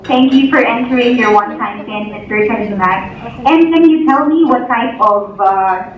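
A woman's voice: fairly high pitch, normal speed, recorded from a distance, slight background noise. A woman bank phone agent reading polite scripted questions. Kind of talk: scripted phone service talk. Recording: phone voice over speaker, echoey hall, muffled.

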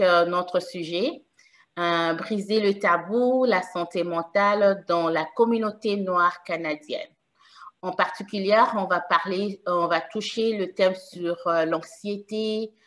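A woman's voice: fairly low pitch, a bit bright. A woman from Congo, a mother of three, hosting a panel over a video call, speaking with many hesitant fillers. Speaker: panel host. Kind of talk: hesitant moderated panel talk.

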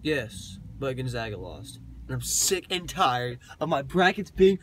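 A man's voice: mid-range voice, very animated, measured pace, fairly bright tone, breathy edge. A young man, a friend of the vlogger, talking in a car with whiny, dramatic complaints. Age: young adult.

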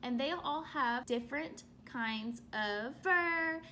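A woman's voice: sits high, slow pace, sharp tone, a little hiss or hum. A young woman teacher reading aloud to young children and asking them questions, stressing and stretching key words. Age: young adult. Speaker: elementary school teacher. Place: small room at home, indoors.

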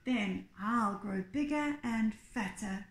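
A woman's voice: medium pitch, slow, reverberant. A woman voicing a big, bold male billy goat in a storybook. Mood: firm.

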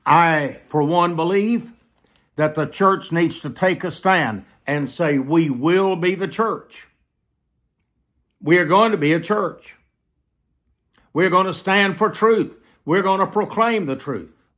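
Slow-paced older American preacher man. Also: on the high side, a bit bright. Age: 70s. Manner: emphatic, deliberate, repeats phrases for weight. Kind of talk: sermon delivered to camera. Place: small plain room indoors.

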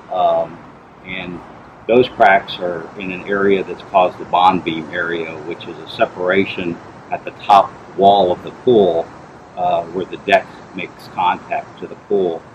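A middle-aged man, a pool inspector, explains repairs in a plain, measured way with frequent fillers, outdoors by a backyard pool. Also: low voice, dull audio, background noise. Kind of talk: on-site explanation to camera.

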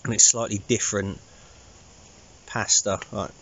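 A man in his thirties with an English accent, chatting casually to camera in a low-key, rambling, informal way as an outdoor vlogger. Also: steady tone, a little slow, fairly bright tone, muffled recording, slight background noise. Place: inside a small tarp shelter outdoors at night.

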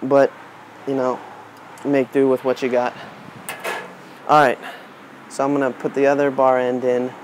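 A man's voice: medium pitch, low-quality recording. A man in his twenties giving a casual, unscripted how-to demonstration while working with his hands. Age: 20s. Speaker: DIY tutorial presenter. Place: outdoors on a wooden porch. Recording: outdoor camera audio with steady background noise.

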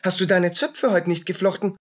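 An actor playing a tormented, feverish soldier unraveling in guilt in a radio play, husky and agitated, in fragments. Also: male voice, sits high, moderate pace. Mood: haunted. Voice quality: husky, rough. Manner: agitated, fragmented, muttering and crying out.

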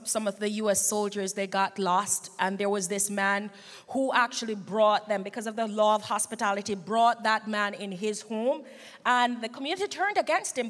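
Woman hosting a bible study panel, highish voice, emphatic, echoey church hall. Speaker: Sabbath school host. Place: large church stage, indoors. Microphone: handheld microphone. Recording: reverberant church hall sound.

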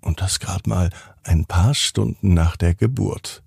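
Slow, gravelly male bedtime storyteller. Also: deep voice, a little mellow, no room sound, clean studio sound. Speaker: host and storyteller of a children's bedtime podcast. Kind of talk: narrated bedtime story for children.

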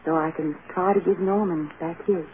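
Actress as an earnest, devoted wife on tinny old radio. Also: female voice, on the low side, steady tone, moderate pace, close to an everyday voice. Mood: sad, resolved. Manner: earnest, pleading, emotional. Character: devoted, anguished film star wife, earnest and self-sacrificing. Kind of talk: 1930s radio acting. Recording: scratchy, tinny 1930s radio broadcast.

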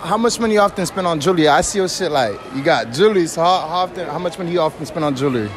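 A man's voice: on the high side, animated, rapid-fire, music in the background. A young man hosting a street interview in a shopping mall, talking in hyped, teasing slang. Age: young adult. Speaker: host.

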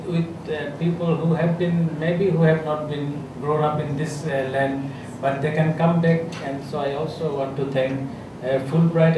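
A middle-aged man with a Bangladeshi accent speaks at a podium in a conference room, his slightly high voice thick with echo. He hesitates often and fills pauses with uh as he feels his way through his points. The mic sits well away from him and steady noise runs beneath. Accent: Bangladeshi English. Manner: hesitant, many uh fillers and restarts. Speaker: government health official speaking on a panel. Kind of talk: unscripted panel remarks. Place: conference room with a seated audience. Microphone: podium microphone.

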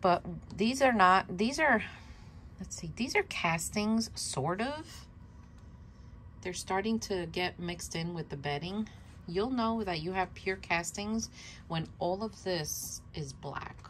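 A woman's voice: medium pitch, animated, slow, fairly bright tone, slight background noise. A woman whose first language is Spanish, hosting a casual worm composting vlog at home, chatty and self-laughing, repeating her words as she shows her bin. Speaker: worm composting channel host. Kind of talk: casual show-and-tell vlog.

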